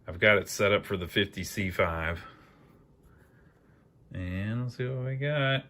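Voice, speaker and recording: Bright-voiced man with a lowish pitch. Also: animated, unhurried. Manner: folksy, thinks aloud. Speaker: host of an amateur electronics repair channel. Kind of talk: casual hands-on repair narration.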